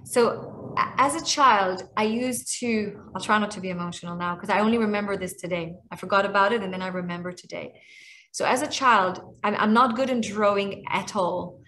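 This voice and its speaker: Israeli woman in her 40s, hebrew native, mid pitch, heartfelt and halting, webcam call from home. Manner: earnest, warm, restarts often, slows on emotional points. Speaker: guest speaker and author. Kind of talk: heartfelt talk on a video call. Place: small room at home. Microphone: webcam on a video call.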